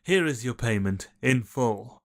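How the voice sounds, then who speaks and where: Man playing a formal employer, mid-pitched male voice, measured, businesslike, fantasy audio drama. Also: animated. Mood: cool, satisfied. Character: formal, businesslike male client paying for a job.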